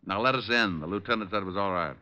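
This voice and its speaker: A man's voice: on the low side, quick pace, pushed and bright, smooth. A man playing a shrewd, wisecracking tough private detective in a 1940s style radio drama. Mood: wry, breezy. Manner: sardonic, wisecracking. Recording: muffled vintage radio recording.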